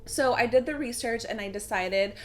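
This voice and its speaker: A woman's voice: on the high side, normal speed, a little hiss or hum. A young adult woman talking in a chatty, explanatory way, like a casual tutorial vlog from a video creator.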